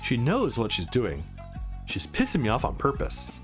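A man's voice: mid-range voice, wildly swooping pitch, normal speed, faint music behind. A man voicing a terse, brooding young man who trains to fight in a visual novel. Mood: tense focus. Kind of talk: visual novel dialogue and inner monologue read aloud.

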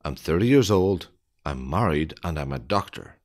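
A man reading an English listening quiz for learners, with deliberate, evenly spaced phrasing. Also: low-pitched, expressive. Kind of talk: slow scripted quiz reading.

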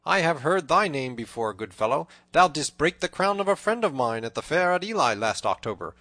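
A man's voice: mid-range voice, expressive, normal speed, fairly bright tone, no echo, natural voice. A man voicing a bold, merry, teasing outlaw leader with exuberant, old-fashioned storybook speech. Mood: hearty, curious. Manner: exuberant, mirthful archaic speech.

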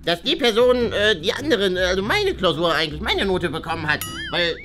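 A woman acts as an anxious schoolboy in a children's play story, proud of his grades and easily flustered. He stammers and whines with a swooping, animated pitch, over clearly audible music. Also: fairly quick. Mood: sulky, suspicious. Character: anxious top-student schoolboy, vain about his grades. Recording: music behind the voice.

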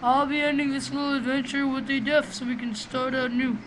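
A man with a small, high voice giving rambling, profane, excitable commentary while playing a game, recorded on a webcam microphone. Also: brisk. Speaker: gamer doing a let's play. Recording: noisy home recording.

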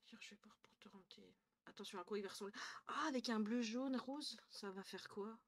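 A tired young woman from northern France chatting casually with her stream viewers, thinking aloud, swearing now and then and dropping to quiet murmurs, recorded on a headset at home. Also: medium pitch, a little fast. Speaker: Twitch streamer. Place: small room at home, indoors.

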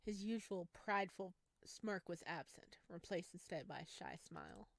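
Woman, visual novel narration, expressive storytelling, close microphone, very little room sound. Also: lowish voice, moderate pace, creaky, no room sound. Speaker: streamer reading a visual novel aloud.

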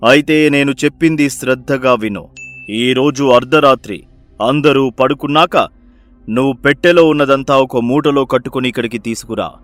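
A man voicing a sly village holy man in an animated moral story, measured, solemn and admonishing. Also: mid-range voice, soft background music, close to an everyday voice. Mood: reassuring, solemn.

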